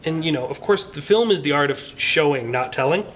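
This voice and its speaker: A man's voice: a little high, lively intonation, fairly quick, fairly bright tone, slight background noise. A man talking through his opinions on a film in a casual, earnest, conversational way, hedging as he goes. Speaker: film review show host.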